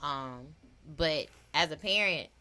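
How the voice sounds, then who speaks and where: A thirty-year-old woman from hosting a casual podcast chat, loud and laughing. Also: sits low, expressive, slow, clear, resonant tone. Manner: loud, laughing, slangy banter. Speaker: podcast host.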